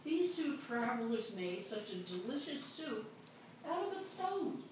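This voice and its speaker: Brief: marveling village woman, as a storyteller puts her on, high, distant, very echoey. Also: big pitch swings, measured pace, noisy background. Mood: amazed.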